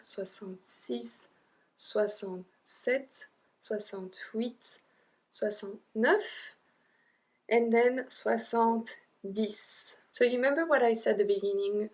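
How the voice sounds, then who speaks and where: A young woman with a French accent, teaching an online language lesson, drilling number words slowly with chatty asides. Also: fairly high pitch. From French-speaking Europe.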